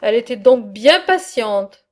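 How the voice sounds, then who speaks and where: A woman reading aloud the part of a wry ancient male satirist in a philosophical dialogue, in a measured, earnest way. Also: fairly high pitch, lively intonation, pitched below the performer's own voice.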